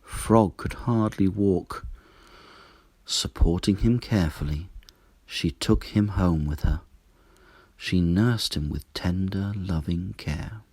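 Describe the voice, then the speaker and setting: A man narrating a children's story in an expressive, deliberate storytelling manner. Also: low-pitched, husky, dry recording. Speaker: storybook reader.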